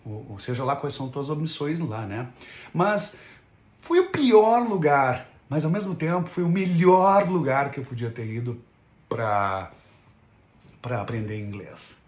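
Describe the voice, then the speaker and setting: A man's voice: medium pitch, lively intonation, measured pace. A man talking casually as an English teacher in an unscripted vlog, rambling and often checking for agreement with little tag questions. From Brazil. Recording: roomy, echoing room sound.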